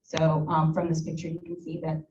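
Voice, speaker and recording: Halting Ukrainian-born woman, far and echoey. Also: low voice, completely flat delivery, a little mellow, slight background noise. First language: Russian. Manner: halting, many fillers and restarts. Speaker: guest speaker. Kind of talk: personal talk to a club. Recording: echoey room from far off, dull.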